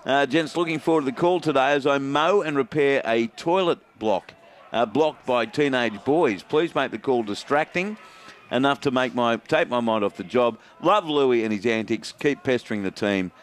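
A man with an Australian accent hosting a radio sports show, bantering and reading out listener texts. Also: mid-range voice. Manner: laughing, quick, chatty.

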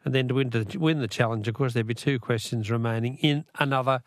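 Jovial Australian radio host, dry studio sound. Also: male voice, mid-range voice, quick pace, no echo. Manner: jovial, chatty, laughs often. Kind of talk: late-night talkback radio. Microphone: studio microphone.

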